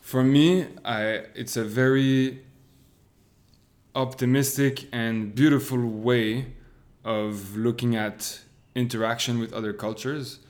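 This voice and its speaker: Thoughtful man, halting. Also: medium pitch, steady tone, darkish tone. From Quebec. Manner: thoughtful, halting with many restarts. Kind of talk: casual conversation.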